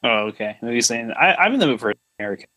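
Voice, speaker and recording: A man with an average-pitched voice chats casually as a podcast co-host. He riffs playfully in short quips, and the sound is close and dry with little room in it. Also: sing-song, fast, sharp tone, dry recording. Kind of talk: casual joking chat.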